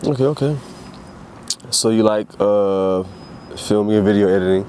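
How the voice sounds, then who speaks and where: Man, interview host, casual chat, loose halting questions, frequent restarts, lowish voice. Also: warm tone, a little hiss or hum.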